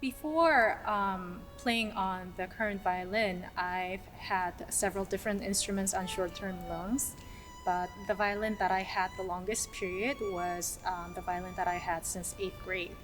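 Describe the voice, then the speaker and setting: A woman's voice: mid-range voice, fairly even intonation, unhurried. Woman musician from South Korea over music. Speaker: orchestral violinist. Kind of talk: casual scripted-outline self-introduction to camera.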